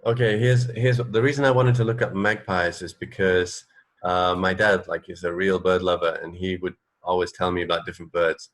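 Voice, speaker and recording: A man's voice: low voice, flat delivery, moderate pace. A man co-hosting a radio show in casual chat, hesitating and repeating phrases as he goes. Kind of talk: casual chat, trading fun facts.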